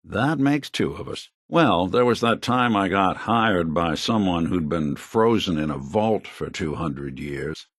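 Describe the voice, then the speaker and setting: A man voicing a wry, kindly, world-weary android private detective in a video game, with a gravelly, husky voice and a laconic hardboiled delivery. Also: low-pitched, expressive, measured pace, few pauses, no echo, studio quality, heavily acted. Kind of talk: video game character dialogue.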